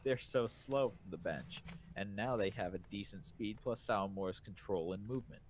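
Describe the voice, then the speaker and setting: A man co-hosting a casual podcast, reading listener comments aloud haltingly and rephrasing as he goes. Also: fairly low pitch, slight background noise. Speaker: podcast co-host reviewing manga.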